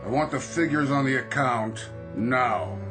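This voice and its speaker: A man's voice: medium pitch, unhurried, over music, natural voice. An actor playing an impatient, imperious male boss who scolds his staff sarcastically in a radio drama. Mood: impatient, stern.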